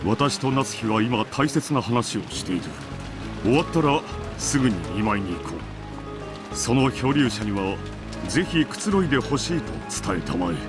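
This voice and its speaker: Man acting a lordly, lively-toned household master. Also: medium pitch, fast, lightly acted. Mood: composed authority. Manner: formal, authoritative, lordly. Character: dignified middle-aged master of a wealthy household. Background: game music.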